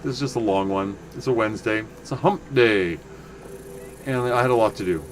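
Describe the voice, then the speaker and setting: A man casually commenting on his game for viewers, rambling and correcting himself as he goes, with a loud voice. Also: lowish voice, fairly quick, a little hiss or hum. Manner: rambling, self-correcting, chatty asides to viewers. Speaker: livestream game player.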